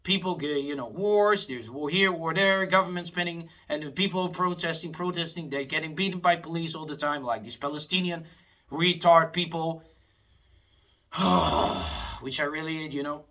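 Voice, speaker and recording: A man's voice: on the high side, expressive, moderate pace, sharp tone. A man, delivering a heated, rambling vlog monologue full of restarts. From Afghanistan. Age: 20s. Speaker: vlogger.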